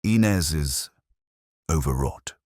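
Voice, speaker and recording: A man's voice: extremely low pitch, lively intonation, slow, darkish tone, slightly rough, no room sound, studio quality, heavily acted. A man voicing a thin, nervous male doctor, evasive and insistent yet hesitant, in an audiobook mystery.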